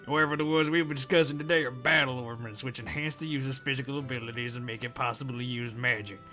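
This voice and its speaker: Casting: man to play greedy RPG shop mechanic, bright and punchy, condescending explainer who curses at customers. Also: mid-range voice, steady tone, fast, soft background music, natural voice. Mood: lecturing. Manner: pompous lecturing with crude sweary asides. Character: blunt, greedy shopkeeper mechanic explaining magic, insulting customers. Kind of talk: amateur fandub game dialogue.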